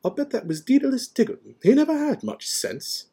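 A man voicing a stern, severe older witch professor in an audiobook, prim and impatient, with sharp, clipped, indignant delivery. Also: high voice, sing-song, moderate pace, creaky, husky, voice pushed up, full character voice. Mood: irritated, impatient. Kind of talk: audiobook character voice.

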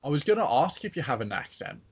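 A man's voice: a little high, very animated, quick pace, breathy edge. A man with an Australian accent, co-hosting a podcast, telling anecdotes in easygoing casual chat. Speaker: podcast co-host.

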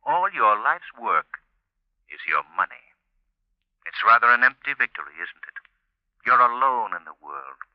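An actor playing a suave, measured crime-fighting gentleman with a polished delivery, in 1940s radio drama style. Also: medium pitch, sing-song, slight background noise. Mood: stern, taunting. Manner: measured, polished, sternly mocking. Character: suave crime-fighting gentleman, an unseen taunting avenger of justice. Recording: muffled old radio recording.

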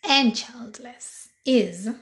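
A Kenyan woman in her thirties, a little hoarse, hosting a casual solo podcast and chatting slowly with many pauses and fillers. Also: on the high side. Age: 30s. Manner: slow, deliberate, chatty with frequent fillers. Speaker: podcast host.

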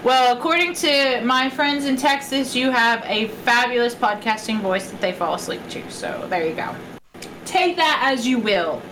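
A woman co-hosting a casual podcast chat, bubbly and quick to laugh, with a shrill voice. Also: lively intonation, fairly bright tone. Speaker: podcast co-host. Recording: echoey room with background noise.

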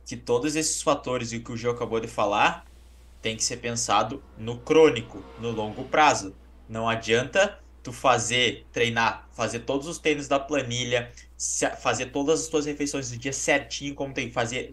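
A man co-hosting a running podcast, making his points in a measured, reasoning way. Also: medium pitch, a bit bright. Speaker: running coach, podcast co-host. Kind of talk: casual podcast discussion.

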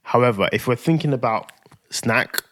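A man's voice: medium pitch, moderate pace, fairly bright tone. A man, co-hosting a casual podcast chat, musing in a laid-back way with lots of restarts. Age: 30s. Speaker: podcast co-host.

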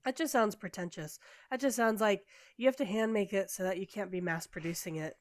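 A woman's voice: medium pitch, a little fast, dry recording, clean studio sound. A woman co-hosting a casual podcast, putting in wry, skeptical asides. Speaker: podcast co-host. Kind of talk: casual chat.

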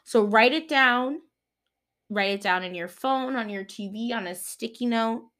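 A woman's voice: fairly high pitch, measured pace, fairly bright tone. Playful young woman hosting a podcast. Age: 20s. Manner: playful, rambling, laughs at own jokes. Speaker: podcast host. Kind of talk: solo podcast chat.

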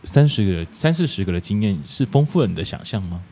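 A man's voice: lowish voice, big pitch swings, quick pace, mellow, husky. A Taiwanese man hosting a podcast, chatting casually and asking probing, playful questions. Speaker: podcast host. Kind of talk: casual, teasing interview chat.

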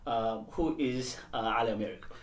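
A man's voice: mid-range voice, a little fast, echoey room. A man from Somalia hosting a podcast interview in a casual style, often murmuring agreement. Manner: warm affirming backchannels. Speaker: podcast host.

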